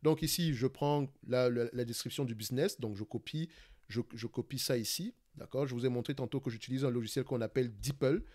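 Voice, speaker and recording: A man with a Cameroonian accent talks fast through a live screen-recorded stock analysis. He often searches for words and restarts his sentences. He keeps checking in with his listeners as he goes. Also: medium pitch, a little mellow. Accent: Cameroonian French. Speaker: investing channel host.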